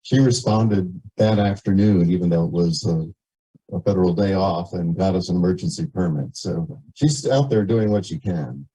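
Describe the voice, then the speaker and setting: A man's voice: low voice, normal speed, dark tone, husky, low-quality recording. A man resident making an informal, hesitant comment at a community meeting.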